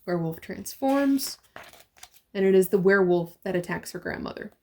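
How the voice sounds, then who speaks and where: A woman's voice: mid-range voice, expressive, moderate pace, a little mellow. A woman with a mid-range voice hosts an opinionated review. She retells and critiques in a conversational style, leaning on emphasis and rhetorical questions to make her points. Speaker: comic book reviewer and host.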